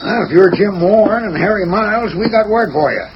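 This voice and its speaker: An actor playing a belligerent outlaw rider in a 1940s radio western, calling out in a confrontational way. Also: male voice, high-pitched, brisk. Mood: menacing. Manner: shouting, confrontational. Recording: muffled, very noisy vintage radio recording.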